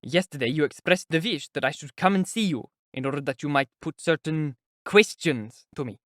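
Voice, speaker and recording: Casting: male narrator as angry, defiant young man, raised pitch, forceful delivery, classic novel audiobook. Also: fairly quick, dry recording, clean studio sound, natural voice. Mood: resolute, irritable. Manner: resolute, irritable, near shouting. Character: resentful, irritable young male visitor confronting an investigator. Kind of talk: audiobook character acting.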